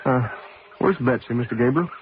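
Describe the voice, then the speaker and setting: A man's voice: mid-range voice, moderate pace, natural voice. An actor playing a cocky but good-natured young race driver, husband and father, in a radio drama. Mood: wry, exasperated. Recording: noisy, tinny old radio broadcast.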